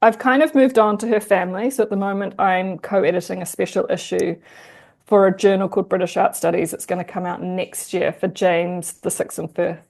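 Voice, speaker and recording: A woman with a New Zealand accent, a guest historian chatting in an interview, lively and quick to laugh. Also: medium pitch, vocal fry. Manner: chatty, animated, laughs easily. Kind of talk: casual interview conversation.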